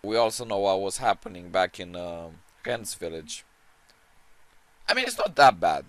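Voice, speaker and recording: A man with a hoarse, strained voice giving casual, unscripted commentary as he ranks books, rambling and opinionated with many restarts. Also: deep voice, very animated, moderate pace, no echo. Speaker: book-ranking video creator.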